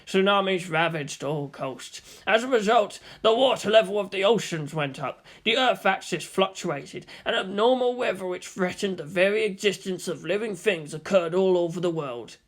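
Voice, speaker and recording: A man voicing a formal schoolteacher in an audiobook, lecturing in a measured, declamatory way. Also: mid-range voice, animated, close to an everyday voice. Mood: solemn lecturing.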